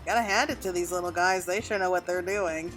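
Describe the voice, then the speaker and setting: A woman giving casual, exclamatory gameplay commentary in an animated way. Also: lowish voice, a little fast, faint music behind, low-quality recording. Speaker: gaming video creator. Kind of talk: casual gameplay commentary with reading aloud of game dialogue.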